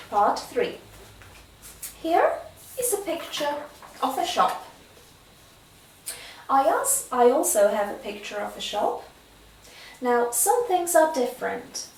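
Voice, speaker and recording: A woman conducting a spoken English exam as the interviewer, giving clear, measured prompts. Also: high voice, echoey room, recorded from a distance, poor audio.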